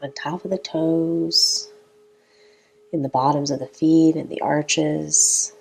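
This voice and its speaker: A woman leading a guided meditation in a calm, gentle, unhurried manner with long pauses between phrases. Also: low voice, vocal fry.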